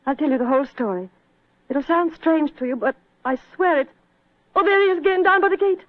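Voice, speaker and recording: A woman's voice: high voice, animated, a little fast, no echo. An actress playing a frightened, pleading young woman in 1940s radio melodrama, speaking with urgency. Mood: frightened, urgent. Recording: tinny, band-limited old radio recording.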